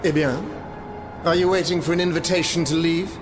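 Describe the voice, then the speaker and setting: Actor as haughty, higher-voiced French king. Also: steady tone, a little fast, over music, close to an everyday voice. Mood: cold disdain. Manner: imperious, formal, scornful. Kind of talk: dramatic video game cutscene acting.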